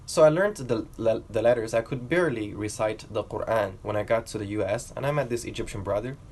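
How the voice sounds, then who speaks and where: A man's voice: lowish voice, a little fast, darkish tone, a little hiss or hum. A young man from China telling his own learning story to camera in an earnest, reflective way. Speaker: vlogger.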